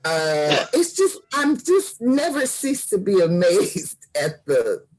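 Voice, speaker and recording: A man co-hosting a book discussion, speaking haltingly with many fillers and short laughs between words. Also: sits high, big pitch swings, low-quality recording.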